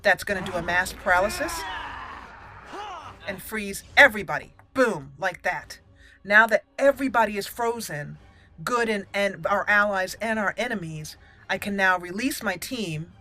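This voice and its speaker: Bright-voiced woman narrating her gameplay. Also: medium pitch, expressive, moderate pace, soft background music. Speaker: video game let's play host. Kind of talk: casual gameplay commentary.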